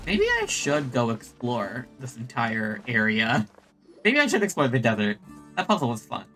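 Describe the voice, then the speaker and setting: A man giving casual live gameplay commentary, halting and often repeating his phrases. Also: medium pitch, sing-song, a bit bright, faint music behind. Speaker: game streamer.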